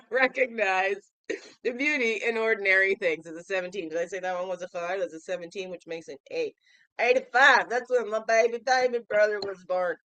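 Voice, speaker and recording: A woman in her fifties with a stuffy, nasal voice from a cold, hosting a daily reflection vlog. She talks in a playful, chatty way and keeps asking the listener questions. Her voice sits at an ordinary pitch and sounds close and dry. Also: fairly even intonation, fairly quick, fairly bright tone, no echo. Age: 50s. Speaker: host of a daily reflection show. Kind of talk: casual reflective vlog talk.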